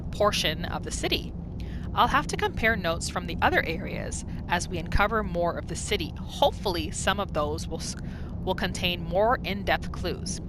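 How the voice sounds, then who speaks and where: A woman's voice: fairly low pitch, noisy background. A woman hosting a gaming video with casual role-play commentary, talking to herself as she plays. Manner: excitable, exclaims often, talks to herself. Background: game music.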